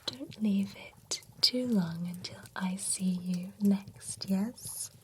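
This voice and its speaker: A woman voicing a genteel female vampire spa attendant in an ASMR roleplay, whispering close to the mic in a gently chatty, courteous way. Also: medium pitch, slow pace, slight background noise, close to an everyday voice. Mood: soft, courteous.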